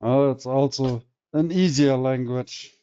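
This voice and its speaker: Slow, mellow older man. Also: medium pitch, smooth. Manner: slow, deliberate, mildly teasing. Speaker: participant. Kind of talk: casual chat on a video call. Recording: muffled video call audio.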